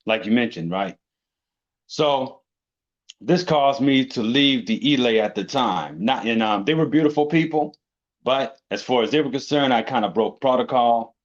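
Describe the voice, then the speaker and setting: A man, a podcast guest, telling his spiritual journey in a relaxed, storytelling way, often checking in with "you know" and "right". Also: on the low side, normal speed, lo-fi sound. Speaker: interview guest. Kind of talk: casual conversation.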